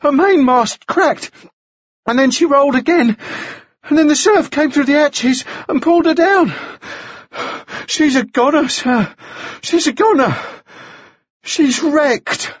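A man voicing a panicked serving boy in an audiobook, gasping and breathless as he reports in urgent, halting bursts. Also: very high voice, no echo, lo-fi sound, pitched above the performer's own voice. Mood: breathless alarm.

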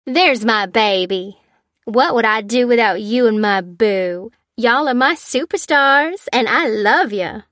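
A woman's voice: mid-range voice, a bit bright, no echo, close to an everyday voice. A gushing, playful mother of about thirty, acted by a woman narrator in an audiobook. She coos affectionately and draws out her words, in a dry, close recording. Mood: gushing, elated. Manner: gushing, cooing, drawn-out words. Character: gushing, playful thirty-year-old Southern American mother.

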